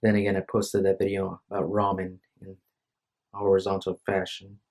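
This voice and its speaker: A tired young man with a lowish voice rambles into a phone microphone at home. He talks in a hesitant, apologetic way and keeps breaking off and restarting his sentences. The phone mic gives it a close, casual vlog sound. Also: completely flat delivery, moderate pace, a little mellow. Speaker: vlogger. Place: room at home.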